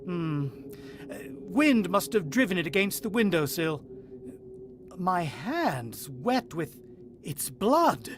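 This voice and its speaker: Man acting a brooding husband, higher-pitched voice. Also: big pitch swings, background noise, exaggerated voice. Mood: shaken. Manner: musing, dramatic, halting pauses. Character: brooding, uneasy husband and father narrating a bird attack. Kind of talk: vintage radio drama acting.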